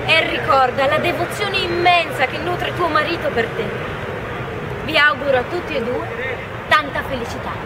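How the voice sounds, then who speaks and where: A woman voicing a gentle, wise male sprite with a solemn, admonishing manner in live storytelling. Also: high-pitched, moderate pace, over a noisy crowd, lightly acted. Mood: solemn, kindly. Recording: distant mic, loud urban street noise.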